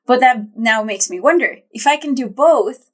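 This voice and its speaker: A young woman with a fairly high voice co-hosts a casual podcast chat. She thinks out loud as she goes, rambling a little and circling back with fillers and restarts. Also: expressive, moderate pace. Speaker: podcast co-host.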